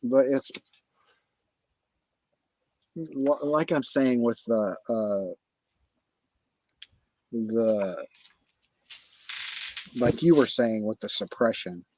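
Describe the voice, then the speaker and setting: A man's voice: mid-range voice, expressive, drawn-out words, long pauses, a little mellow, slight background noise, poor audio. A man hosting a livestream, talking casually in a profane, repetitive rant. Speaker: livestream host.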